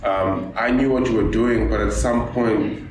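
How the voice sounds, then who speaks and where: A man's voice: lowish voice, fairly even intonation, unhurried, darkish tone. A man hosting a casual chat, laid-back and slangy, often cutting in. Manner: laid-back and chatty, cuts in often, uses slang like "dog" and "dude". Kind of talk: casual conversation. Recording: very echoey room with background noise.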